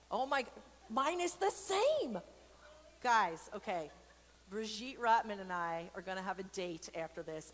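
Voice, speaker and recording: A woman hosting a casual interactive read-aloud for children, chatty and playful, wandering into asides and putting questions straight to her listeners. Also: medium pitch. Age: adult. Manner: chatty, playful, rambling asides with comic exaggeration; speaks directly to viewers, asking questions. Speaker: host reading a picture book to children during an online church service. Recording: long reverberant hall echo, background noise.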